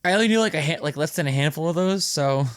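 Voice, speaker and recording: A man's voice: a little high, animated, fast talker, no echo, clean studio sound. A young man chatting casually and jokingly as a podcast co-host. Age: young adult.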